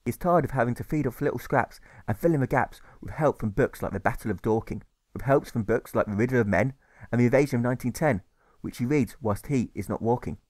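A man's voice: mid-range voice, fast talker, a little mellow, no room sound. A man narrating a rhyming novel as an author reading his own audiobook, with rhythmic, sing-song lists.

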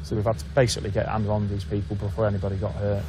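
A man's voice: fairly low pitch, monotone, very fast, over music. A man, a police officer recounting an incident in a plain, matter-of-fact procedural way. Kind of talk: on-camera interview recollection. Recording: outdoor street noise.

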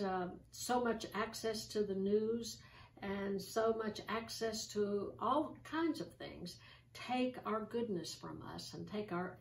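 Older woman giving a homey sermon, roomy. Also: medium pitch. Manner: gentle, conversational, with many ums and restarts. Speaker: host of an online church show. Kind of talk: informal devotional talk with scripture reading. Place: small room with curtains, seated in an armchair. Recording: roomy, distant home recording with faint hiss.

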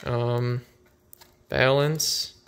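Bright-voiced man, casual and rambling. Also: mid-range voice, steady tone, drawn-out words, a little hiss or hum. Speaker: vlogger. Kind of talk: casual unscripted unboxing commentary.

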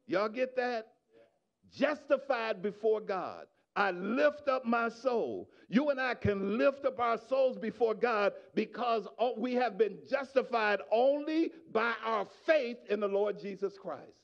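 Middle-aged man, pastor, preaching, high voice, gravelly, handheld mic in a church, dull lo-fi sound. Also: lively intonation, slow. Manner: preaching with rising shouts and quiet asides to the congregation. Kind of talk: sermon. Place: church sanctuary. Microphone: handheld microphone.

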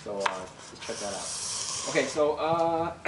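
A young man giving a casual tech talk at a meetup, with many fillers and pauses. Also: medium pitch, expressive, background noise. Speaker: meetup presenter. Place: office meeting room with audience. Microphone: far camera. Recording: roomy sound from far off.

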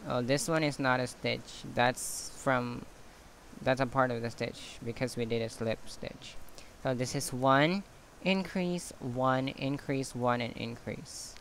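A man giving a quiet step-by-step craft tutorial, counting stitches as he works off camera. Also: mid-range voice, a little hiss or hum. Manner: slow, quiet counting while working with hands. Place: indoors at a table. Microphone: camera on hands, speaker off screen.

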